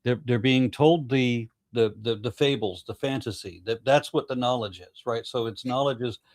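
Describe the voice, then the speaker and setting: A man leads a loose panel talk, trailing off and repeating words as he thinks. His voice is mid-pitched and sits close and dry on the mic, with no room around it. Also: normal speed, darkish tone, dry recording. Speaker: host. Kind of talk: casual panel discussion.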